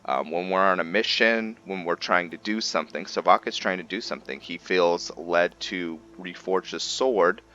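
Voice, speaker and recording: A man giving casual gameplay commentary and roleplay storytelling, haltingly thinking aloud as he goes. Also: deep voice, pushed and bright, dull audio, a little hiss or hum. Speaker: gaming video host and roleplayer.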